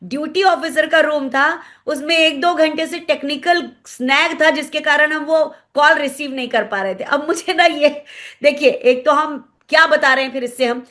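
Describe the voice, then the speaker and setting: A woman with an Indian accent giving analytical commentary in an interview, explaining things and pausing to search for words. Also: high voice, steady tone, bright, forceful tone. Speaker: guest commentator, former pilot.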